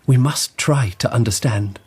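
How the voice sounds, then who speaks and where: An actor playing a dreamy, curious emperor in a radio drama, speaking in a measured, stately, wondering way. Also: male voice, medium pitch, darkish tone, slightly rough, no echo. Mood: intent, fascinated.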